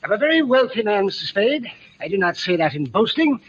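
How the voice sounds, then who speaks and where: A pompous, wealthy yacht skipper from a 1940s radio play. His voice is on the high side, husky and very animated, with fussy, genial formality. The recording is muffled and vintage. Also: a little fast, heavily acted. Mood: smug, genial. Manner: pompous, formal, clipped old-fashioned phrasing.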